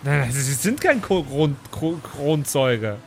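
A man's voice: on the high side, sing-song, slow, creaky, a little hiss or hum, pitched below the performer's own voice, full character voice. A man playing a self-important, petulant superhero who protests indignantly in an improvised audio role-play.

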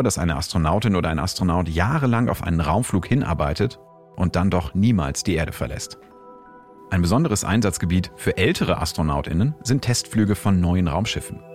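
Male podcast science host, low register, scripted explanatory read over faint music. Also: a little mellow.

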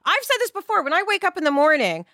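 A woman's voice: very high-pitched, huge pitch swings, fast, bright, forceful tone, no echo, clean studio sound. A woman talking expressively in a casual panel discussion, often acting out quotes as she goes. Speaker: podcast panelist.

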